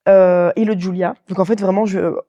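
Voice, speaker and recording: A woman's voice: mid-range voice, fairly even intonation, moderate pace, mellow, lo-fi sound. A woman, chatting casually and telling anecdotes as an interview guest, talkative and full of slang and quoted dialogue. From Belgium. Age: 20s. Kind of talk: casual chat, anecdotal storytelling.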